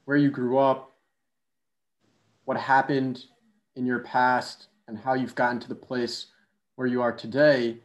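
A man hosting a casual podcast interview in a relaxed, easygoing way. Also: mid-range voice, measured pace, pauses often. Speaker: podcast host.

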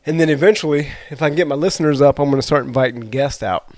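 A man's voice: a little high, fast talker, a little mellow, dry recording. A man hosting a podcast in loose, rambling casual chat, sounding a bit congested from allergies. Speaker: podcast host.

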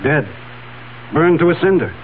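An actor playing a wry, calmly probing man in a 1970s radio drama. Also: a little high, normal speed, close to an everyday voice. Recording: tinny old radio broadcast, faint noise.

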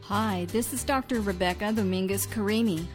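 A middle-aged woman hosting a program, reading a welcoming scripted introduction with measured delivery. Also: mid-range voice, faint music behind. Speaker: podcast host and interviewer. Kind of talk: scripted intro then interview questions.

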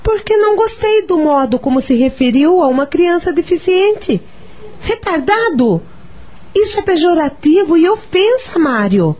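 A woman's voice: very high-pitched, sing-song, normal speed, darkish tone. An actress playing a frank, wounded but caring wife and mother in a radio soap opera, with a Brazilian accent. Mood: indignant.

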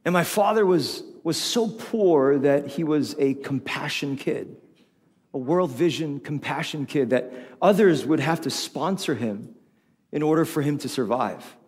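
Pastor, 40s, male, Tagalog first language; high voice, funny storytelling sermon, reverberant church hall. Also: measured pace. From Philippines. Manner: animated, humorous storytelling preacher. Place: church auditorium.

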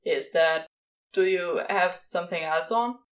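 A woman voicing a reserved, tender male demon who speaks haltingly in an audiobook-style fan fiction reading. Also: lowish voice, pitched below the performer's own voice, close to an everyday voice. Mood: awkward, hesitant. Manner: hesitant, halting.

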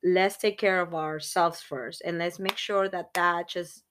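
A woman's voice: on the low side, steady tone, a little slow, a bit bright, slight background noise. A woman in her thirties co-hosting a casual podcast chat, earnest and reflective, talking in long run-on thoughts. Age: 30s. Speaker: podcast co-host.

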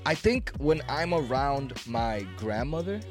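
A man's voice: medium pitch, lively intonation, a little slow, soft background music. A man who stutters and repeats words, chatting casually and cursing freely on a podcast.

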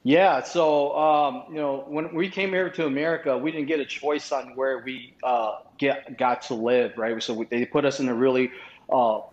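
A man's voice: medium pitch, fairly even intonation, normal speed. A man from Vietnam in his thirties, a podcast guest telling his life story casually, rambling with many restarts and fillers. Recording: roomy, dull lo-fi sound.

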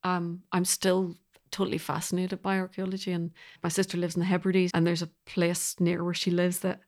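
A woman's voice: fairly low pitch, monotone, normal speed, a little mellow. A woman with a Northern Irish accent talking reflectively in an interview, thoughtfully searching for words in short phrases. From Northern Ireland. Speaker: composer being interviewed.

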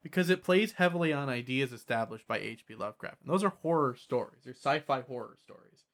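A man's voice: medium pitch, a little fast. A man in a casual debate about games, insistent and argumentative, with some swearing. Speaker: podcast co-host.

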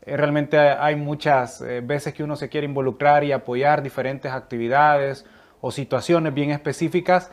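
Salvadoran guy, guest on a chat, middle voice, earnest, lots of ehs. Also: medium pitch. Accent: Salvadoran Spanish. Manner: earnest, thoughtful, many fillers. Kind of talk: casual conversation.

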